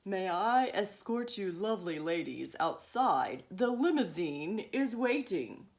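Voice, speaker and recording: A woman's voice: medium pitch, sing-song, takes it slowly, pitched below the performer's own voice. A woman voicing a playful storybook father who talks in a posh, mock-formal and gallant way.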